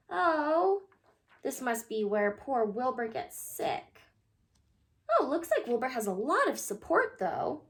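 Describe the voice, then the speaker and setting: A woman reading a children's picture book aloud in an animated, expressive way, addressing young listeners. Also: sits high.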